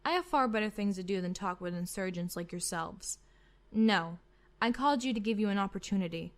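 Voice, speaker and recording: A woman acts out a villainous female admiral in a space roleplay. The voice sits at a middle pitch, pompous and mock-courteous, with haughty menace. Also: expressive, fairly quick, natural voice. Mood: smug, mocking. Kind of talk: scripted fan audio roleplay.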